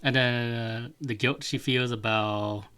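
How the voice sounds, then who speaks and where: Slow, bright-toned young man giving blunt opinions. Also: mid-range voice, fairly even intonation, clean tone. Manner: blunt, short opinions, drawn out. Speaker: podcast co-host. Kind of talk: casual gaming podcast chat.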